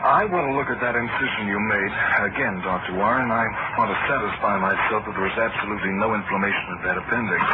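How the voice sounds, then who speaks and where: Actor as an earnest young doctor, noisy old recording. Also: male voice, fairly low pitch, fast talker, few pauses, narrow, tinny audio, close to an everyday voice. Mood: troubled, earnest. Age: young adult. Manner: hesitant, earnest, with stammered repeats. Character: earnest, troubled young male hospital intern. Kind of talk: old-time radio drama acting.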